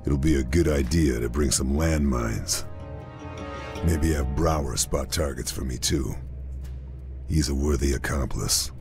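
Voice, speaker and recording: A man playing a grim, calculating lone army sniper who narrates his mission in a measured, restrained way. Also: rumbling low. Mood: grim, focused. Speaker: protagonist narrator. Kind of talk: scripted mission briefing narration. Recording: music behind the voice.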